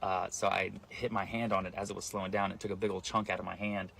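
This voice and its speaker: A man's voice: low-pitched, flat delivery, quick pace, gravelly, sharp tone, slight background noise. A man telling a casual personal story in a rambling, self-mocking way, full of fillers.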